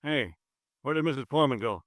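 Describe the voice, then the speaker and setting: A man's voice: medium pitch, lively intonation, fast, no room sound, studio quality, natural voice. An actor playing an officious young male police sergeant in a 1950s radio drama, brusque and dismissive. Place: studio.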